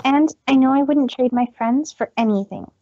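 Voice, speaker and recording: A woman's voice: sits high, moderate pace, warm tone, dull audio, slight background noise, lightly acted. A woman voicing a proud but earnest female pony magician in a theatrical reading of fan fiction. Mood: earnest, reflective. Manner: theatrical, speaks of self in third person.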